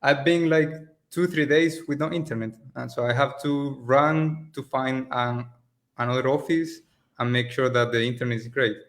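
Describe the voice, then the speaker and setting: A man from Spain, a native Spanish speaker in his late twenties, talking relaxed and casual as a guest on a video call, with loose phrasing and restarts. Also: mid-range voice, moderate pace. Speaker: agency owner, guest. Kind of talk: casual interview over a call. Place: rented office in Mexico.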